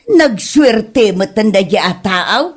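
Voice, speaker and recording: A woman's voice: medium pitch, lively intonation, takes it slowly, slightly rough. A woman with an Ilocano Filipino accent playing a stern, disapproving mother in a comedy audio drama. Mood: scolding.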